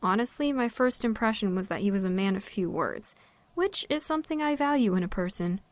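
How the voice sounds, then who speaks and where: A woman's voice: mid-range voice, expressive, fairly quick, no room sound, lightly acted. A woman playing a wry, self-reliant park ranger in an audio drama, talking bluntly and casually.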